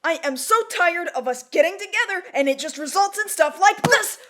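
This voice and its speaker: A woman's voice: very high voice, lively intonation, brisk. A woman playing an emotional heroine in an amateur audio drama. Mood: tearful, furious. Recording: noisy amateur voice recording.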